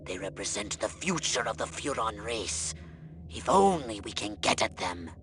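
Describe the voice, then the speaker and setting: Pompous scheming alien commander, animated. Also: male voice, fairly low pitch, moderate pace, a little hiss or hum. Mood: grandiose, lecturing. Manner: theatrical, haughty, lecturing. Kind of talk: video game cutscene voice acting.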